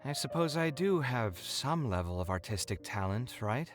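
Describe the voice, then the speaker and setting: An earnest young artist in a video game, acted out by a man, thinks aloud in a theatrical way. His pitch moves freely between doubt and emphasis, with light music behind him. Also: moderate pace, close to an everyday voice. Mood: animated, hopeful. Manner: expressive, musing aloud, theatrical sighs. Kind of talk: scripted video game voice acting.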